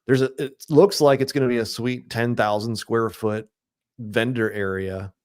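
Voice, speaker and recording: A man hosting a casual podcast chat, thinking out loud about an upcoming event. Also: mid-range voice, fairly even intonation, moderate pace, smooth. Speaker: podcast host.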